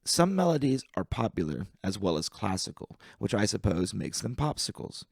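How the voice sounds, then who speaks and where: A man with a lowish voice and a lot of gravelly fry reads light verse aloud. His delivery is wry and deliberate, each word carefully pronounced. The sound is close and dry, with very little room in it. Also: sing-song, a little mellow, dry recording. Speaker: amateur reader of poetry. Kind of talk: poetry reading aloud.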